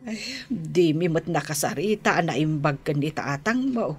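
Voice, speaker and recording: A woman with an Ilocano accent playing a gentle, anxious elderly mother in a radio drama, tender and pleading. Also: deep voice, animated, measured pace, slightly rough, full character voice. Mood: fond. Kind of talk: Filipino radio drama acting.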